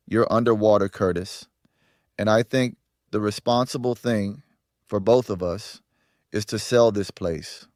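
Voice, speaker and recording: A man's voice: lowish voice, a little mellow, dry recording, studio quality, lightly acted. A man voicing a pragmatic, coolly persuasive businessman in an audio story. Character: smooth, pragmatic businessman, coolly pressing for a sale.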